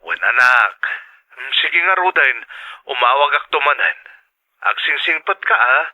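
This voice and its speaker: A man playing a loving, reassuring middle-aged father in a radio drama, gently advising through a telephone filter with an Ilocano accent. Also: medium pitch, animated, a little slow, no echo. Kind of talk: Filipino radio drama acting. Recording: thin phone-call sound.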